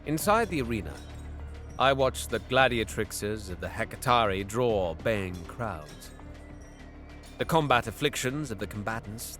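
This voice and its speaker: A man's voice: on the low side, fairly bright tone. A man playing a bitter, scheming young alien prince in an audio drama, with theatrical, disdainful delivery that savors cruelty. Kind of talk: dramatic first-person narration and dialogue, audio drama.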